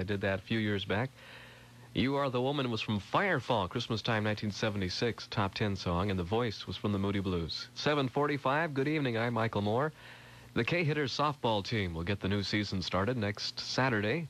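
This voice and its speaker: A man works as a DJ on music radio in the 1980s style. His voice sits slightly low as he presents on air. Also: fairly bright tone, low-quality recording.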